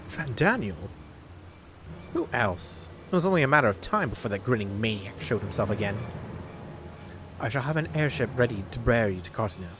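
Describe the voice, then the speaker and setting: A man's voice: medium pitch, sing-song, brisk, long pauses, noisy background, close to an everyday voice. A man reading as a resolute noblewoman who commands an army in a fantasy game, with formal, stately, archaic diction. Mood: grave, commanding.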